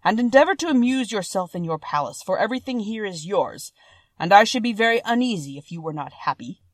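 Woman voicing a humble, mournful monster, loud and earnest. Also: mid-range voice, expressive, a little fast, no echo, lightly acted. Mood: gracious. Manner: emphatic, earnest, courtly. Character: humble, mournful, gentle-hearted male monster beast. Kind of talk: storybook character voice.

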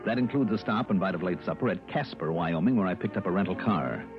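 An actor playing a brisk, businesslike American insurance investigator in 1960s radio drama style, clipped and matter-of-fact. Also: male voice, low-pitched, animated, music in the background. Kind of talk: 1960s radio drama acting and first-person narration. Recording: dull old radio drama recording.

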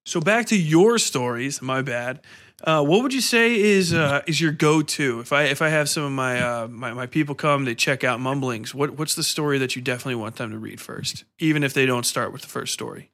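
A man with a medium-pitched voice hosts a casual interview. His phrasing is informal and halting, as he feels his way through questions and asides in loose, chatty language. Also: fairly bright tone. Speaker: podcast host, interviewer.

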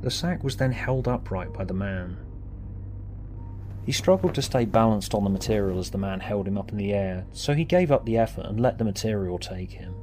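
A man reading scripted story narration in a measured, deliberate way. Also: on the low side, lively intonation, warm tone, soft background music. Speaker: author and narrator.

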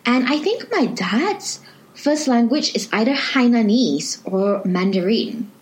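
A woman's voice: fairly high pitch, very animated, measured pace, low-quality recording. A young woman from Malaysia, chatting casually and explaining things at length. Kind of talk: casual conversation.

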